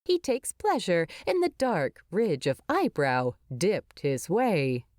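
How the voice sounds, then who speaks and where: Dry-sounding woman narrating with lively pitch. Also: slow, dry recording. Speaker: narrator of fan fiction. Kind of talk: expressive scripted story narration.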